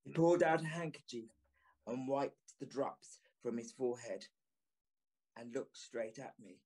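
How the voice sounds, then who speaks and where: An actor playing a gentleman who narrates a Victorian ghost story in the first person, grave, puzzled and formal. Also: medium pitch, rough recording. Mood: grave, uneasy. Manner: deliberate, formal, literary. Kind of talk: dramatic first-person scripted narration, Victorian audio drama.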